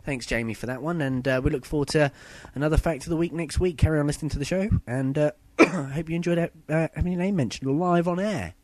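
Husky-throated man hosting a podcast. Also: fairly high pitch, quick pace, hardly any pauses, darkish tone. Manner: chatty, loose and rambling, with fillers and self-corrections. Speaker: podcast host. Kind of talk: casual solo podcast chat.

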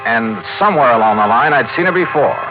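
An American actor playing a brisk, dry hard-boiled investigator in a 1950s radio detective drama, clipped and questioning. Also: male voice, medium pitch, animated, natural voice. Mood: wry, tense. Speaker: lead detective and narrator. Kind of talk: 1950s radio detective acting with first-person narration. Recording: tinny old radio recording, music behind.

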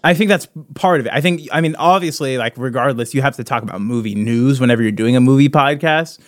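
A man's voice: mid-range voice, fast talker, no echo. Male podcast co-host, mid-range voice, informal and conversational, dry sound. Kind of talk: casual brainstorming chat.

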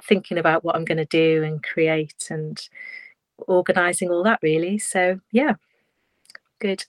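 A woman's voice: lowish voice, no echo, poor audio. A woman chatting casually on a video-call podcast, laughing easily. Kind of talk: casual chat.

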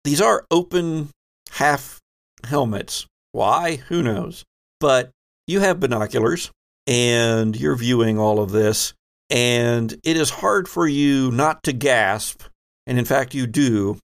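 A man's voice: mid-range voice, slow pace, vocal fry. A man narrating a tabletop roleplaying game as game master, speaking conversationally and descriptively with wry asides.

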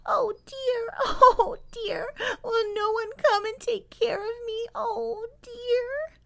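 Tiny, high-pitched weeping baby beaver girl. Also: steady tone, vocal fry, a bit bright, full character voice. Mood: crying, forlorn. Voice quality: tiny, weeny voice. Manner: plaintive, whimpering, drawn-out wailing.

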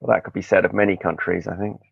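Podcast host, male, low gravelly voice, dull dry sound, wry and chatty. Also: level tone, fast talker, no echo. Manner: chatty, digressive, wry asides. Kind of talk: casual interview chat.

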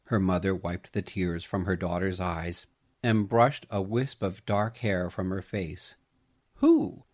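A man narrating a scripted family story in a gentle, dramatic, sentimental manner. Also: low-pitched, steady tone, unhurried.

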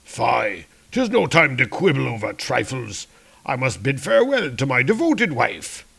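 A man's voice: mid-range voice, sing-song, measured pace, gravelly, fairly bright tone, breathy edge, exaggerated voice. A man voicing a rotund, boastful but cowardly warrior in a comic-book story, blustering in archaic speech. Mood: blustering worry.

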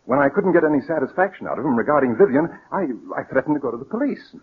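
An actor playing a nervous, scheming murderer who poses as a worried brother, stammering and repeating himself in 1940s radio drama style. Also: male voice, on the high side, lively intonation, very fast, close to an everyday voice. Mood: anxious, feigned worry. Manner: hesitant, stammering, repeats words. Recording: muffled, scratchy 1940s radio broadcast.

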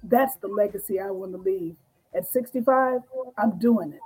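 A woman's voice: medium pitch, moderate pace, darkish tone, slightly rough, poor audio. A woman in her sixties, a guest author and business coach, giving a fervent, rallying motivational talk. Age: 60s. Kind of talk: motivational speaking.

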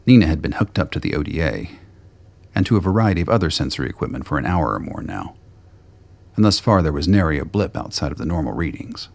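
A man with a gravelly, husky voice reading scripted narration for a radio play. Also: rumbling low, big pitch swings, fast, a little mellow, no room sound.

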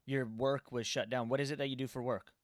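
A man hosting a casual interview, relaxed and friendly, swearing casually. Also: medium pitch, a little fast, crowd noise. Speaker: podcast host.

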